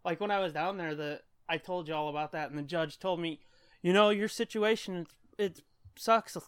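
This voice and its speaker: A man's voice: sits high, normal speed, a bit bright, clean tone. A man co-hosting a podcast in casual comedic chat, profane, irreverent and joking. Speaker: podcast co-host.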